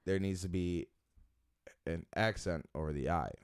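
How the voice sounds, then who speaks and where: A man's voice: sits low, unhurried, smooth. A man hosting a podcast, chatting casually with a guest, joking and laughing often. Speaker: podcast host. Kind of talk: casual interview chat. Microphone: close studio mic.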